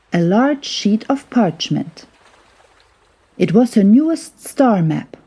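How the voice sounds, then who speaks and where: A woman narrates a children's bedtime story with an unhurried, soothing delivery. Her voice is mellow and husky, and her pitch moves expressively through the gentle phrases.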